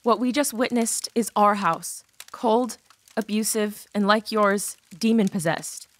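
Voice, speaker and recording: A woman's voice: medium pitch, a little slow, natural voice. A woman playing an abused teenage girl confessing a painful past in a dramatized audiobook. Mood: grave, fed up.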